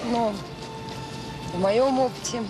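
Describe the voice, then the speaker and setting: Middle-aged woman, children's home director, highish voice, earnest, reflective, 1980s radio drama, loud music underneath. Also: slow pace. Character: caring, weary, plain-spoken children's home director, woman. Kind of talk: naturalistic Soviet radio drama acting.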